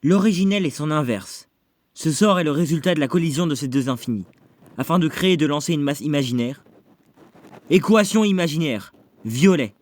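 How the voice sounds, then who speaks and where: A man's voice: on the high side, expressive, brisk, a little mellow, no room sound, lightly acted. A man playing a cocky, euphoric young sorcerer in an anime fan dub, with a theatrical, self-satisfied delivery. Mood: calm, explanatory.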